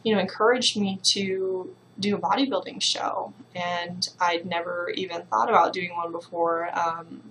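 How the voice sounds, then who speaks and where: A woman's voice: on the low side, steady tone, unhurried, few pauses, a bit bright, a little hiss or hum, low-quality recording. A young woman from the Bahamas, a fitness trainer, telling her personal story to the camera in a casual, reflective way with many fillers.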